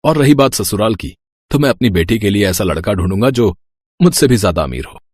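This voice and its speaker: A man's voice: on the low side, lively intonation, fairly quick, darkish tone, slightly rough, no echo. A man voicing a doting, proud, wealthy middle-aged father in an audio story, indulgent and emphatic. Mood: indignant, proud.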